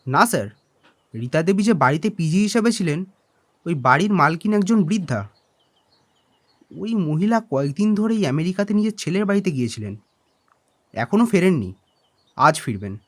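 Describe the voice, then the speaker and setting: High, lively young male assistant actor. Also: normal speed, pauses often. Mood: earnest reporting. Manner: deferential, reporting. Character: brisk, eager, respectful young male secretary. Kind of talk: audio detective drama acting.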